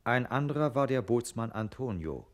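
A man's voice: mid-range voice, animated, normal speed, close to an everyday voice. An actor as a reflective narrator in a German radio play, musing and questioning as he tells the story.

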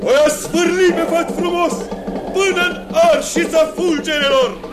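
A man's voice: very high voice, steady tone. An actor playing a menacing, gloating older sorcerer father in 1960s radio theatre, with theatrical exclamations. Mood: gloating fury. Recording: 1960s radio recording, loud music.

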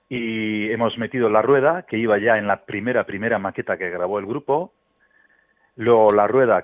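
A middle-aged man from the Basque Country, a native Basque speaker, giving a casual interview as a musician, halting and searching for his words. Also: lowish voice, dry recording. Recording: thin, phone-like band-limited line.

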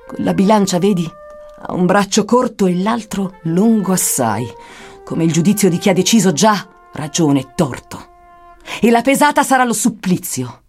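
A woman's voice: mid-range voice, natural voice. A woman playing a solemn poet guide who prophesies infernal punishments in declamatory, archaic rhymed verse. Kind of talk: dramatic verse recitation. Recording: music behind the voice.

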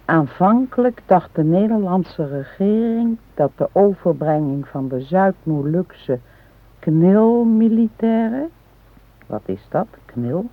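An actress playing a fretful, nagging middle-aged wife in a naturalistic radio drama, earnest and reproachful, with a husky voice. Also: female voice, lowish voice, animated, slow, dry recording. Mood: earnest, reading aloud. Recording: tinny, phone-like radio recording.

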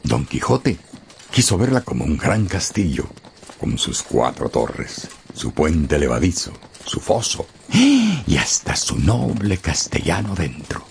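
A man narrating a radio story expressively and theatrically, with dramatic pauses and emphasis. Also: very deep voice, wildly swooping pitch, creaky, slight background noise.

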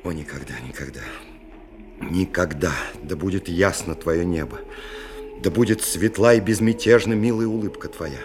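A man's voice: low voice, moderate pace. An actor playing a lonely, melancholy dreamer, narrating his story in a reflective, wistful literary radio drama style. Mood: tender, wistful. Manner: reflective, wistful, breaking into whispers.

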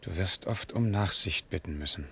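Low-voiced, wistful grey-haired lover. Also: male voice, moderate pace, sharp tone, a little hiss or hum. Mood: pleading, resigned. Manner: intimate, pleading. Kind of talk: radio play dialogue.

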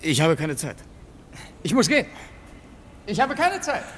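An actor playing a young revolutionary student who narrates in the first person in a radio play, earnest and tense. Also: male voice, sits high, huge pitch swings, unhurried, fairly bright tone, slight background noise, heavily acted.

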